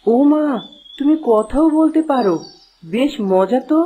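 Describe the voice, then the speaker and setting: A woman's voice: high voice, expressive, measured pace, warm tone, slight background noise. A woman voicing a curious, friendly young village boy in a children's cartoon, speaking earnestly. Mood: wondering. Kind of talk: children's cartoon story dialogue.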